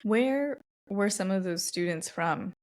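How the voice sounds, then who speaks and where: A woman's voice: mid-range voice, expressive, takes it slowly, darkish tone. A woman from Los Angeles with an American accent, hosting a casual, reflective conversation in a thoughtful, loosely phrased way. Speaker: podcast interviewer.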